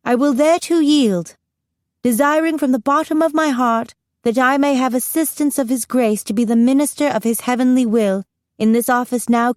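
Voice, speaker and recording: Woman acting a high-pitched, regal British-accented young queen. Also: darkish tone, no room sound, clean studio sound, close to an everyday voice. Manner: grand, declamatory. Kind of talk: formal theatrical speech in an audiobook.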